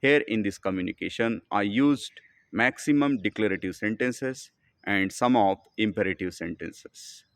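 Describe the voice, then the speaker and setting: A man with an Indian accent giving a spoken English lesson. He talks in slow, simple, deliberate sentences and often calls the listener "my dear friend.". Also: on the low side, no echo, clean studio sound. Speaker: English teacher.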